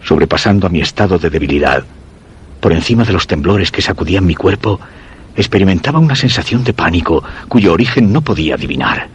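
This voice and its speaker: Deep-voiced, ailing, fearful husband. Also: male voice, lively intonation, a little hiss or hum. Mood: solemn, uneasy. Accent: Castilian Spanish. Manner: measured, literary, solemn storytelling. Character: weak, ailing, fearful husband narrating his suspense tale. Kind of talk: radio drama narration and dialogue. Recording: voice over background music.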